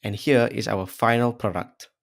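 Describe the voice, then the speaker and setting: A man's voice: fairly low pitch, fairly even intonation, normal speed, vocal fry. A man hosting an audio effects tutorial in a casual, chatty, jokey way, talking informally to viewers. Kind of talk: casual scripted tutorial narration.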